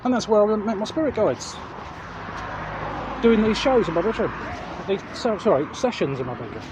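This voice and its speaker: Man, slightly high voice, casual vlog chat, halting and self-correcting, recorded walking outdoors, clear traffic noise. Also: lively intonation. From England. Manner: hesitant, self-correcting, repeats words. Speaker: vlog host. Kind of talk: casual rambling chat to viewers. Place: outdoors, walking along urban streets.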